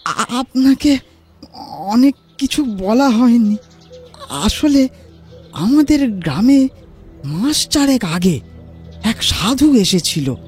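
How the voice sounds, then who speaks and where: An actor voicing an awestruck, anxious villager in an audio horror drama, telling of troubles in a halting, dramatic way. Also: mid-range voice, very animated, darkish tone, soft background music. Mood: troubled, confiding.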